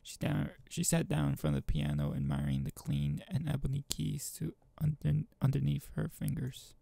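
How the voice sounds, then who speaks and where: Gravelly, husky man narrating casually. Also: medium pitch, animated, mellow, dry recording. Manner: informal, halting. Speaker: gaming channel host. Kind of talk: reading a visual novel aloud, casual commentary.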